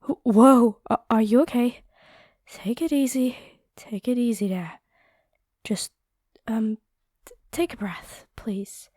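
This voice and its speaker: Woman doing a kind vampire girl, slow n gentle, bit high, cheering you on in an audio roleplay. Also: dry recording, clean studio sound. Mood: worried, soothing. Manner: gentle, earnest, reassuring. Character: kind, encouraging young female vampire sparring fighter. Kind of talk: roleplay audio drama acting.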